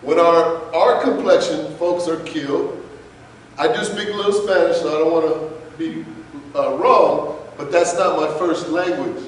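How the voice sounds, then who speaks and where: A man's voice: a little high, steady tone, a little slow, a little hiss or hum. A man giving an impassioned, emphatic lecture to an audience, with frequent rhetorical questions. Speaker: guest speaker. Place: large lecture room. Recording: echoey hall recorded from far off.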